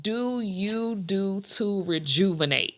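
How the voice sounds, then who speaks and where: A woman's voice: medium pitch, expressive, slow pace, fairly bright tone. An American woman hosting a casual interview, playful and teasing in an upbeat way. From United States. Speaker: podcast host.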